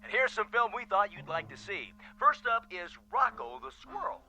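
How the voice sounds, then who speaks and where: An actor playing a manic television emcee and spooky horror host in a radio drama, theatrical and hammy with stretched-out words. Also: male voice, very high voice, lively intonation, moderate pace, vocal fry, bright, forceful tone, heavily acted, low-quality recording. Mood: gleeful showmanship. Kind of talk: old-time TV presenter parody in radio drama.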